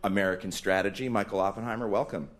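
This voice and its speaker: A man with a low-pitched voice hosts a panel discussion at a live event. He speaks as a moderator, introducing guests and putting questions to them. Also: fairly even intonation, fast, fairly bright tone. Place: live event space before an audience.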